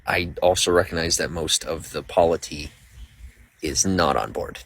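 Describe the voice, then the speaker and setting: A man's voice: sits low, moderate pace, creaky, fairly bright tone. A young man streaming live, rambling casually while greeting viewers by name and reading out their comments. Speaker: livestreamer.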